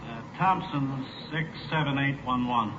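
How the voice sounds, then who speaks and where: Man playing a methodical police clerk, mid-pitched. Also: a little slow, close to an everyday voice. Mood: businesslike. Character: plain-spoken male police armory clerk. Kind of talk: 1940s radio drama dialogue. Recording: noisy, muffled 1940s radio recording.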